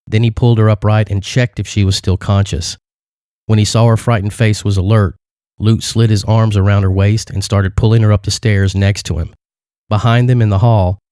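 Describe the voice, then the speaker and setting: A man's voice: lowish voice, warm tone, dry recording, studio quality. A man narrating a dramatic adventure story as a scripted audiobook reading.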